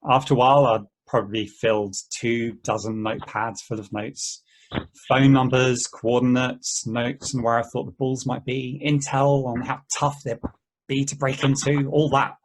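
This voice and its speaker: A man playing an easygoing game player in an amateur table read, talking in a halting, conversational way. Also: mid-range voice, flat delivery, slight background noise, lightly acted, rough recording. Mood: reminiscing. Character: easygoing, rambling male player of an endless treasure-hunt game.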